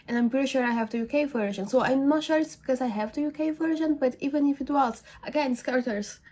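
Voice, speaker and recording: A woman's voice: sits high, quick pace, mellow. A woman whose first language is not English, giving a casual, rambling book review with many fillers and self-corrections. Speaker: book vlogger.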